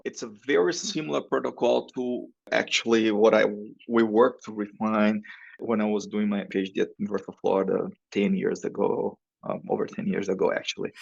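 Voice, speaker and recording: A dull, dry recording of a man with a Brazilian accent. His low voice has a gravelly creak, and he explains things as a professor being interviewed, in non-native English phrasing. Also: no room sound. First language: Portuguese. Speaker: podcast guest, professor and researcher.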